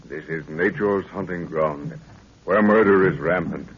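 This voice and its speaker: A man's voice: low voice, a little slow, slightly rough. A man narrating a 1930s radio serial in a solemn, melodramatic, declamatory way. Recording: muffled old 1930s radio recording.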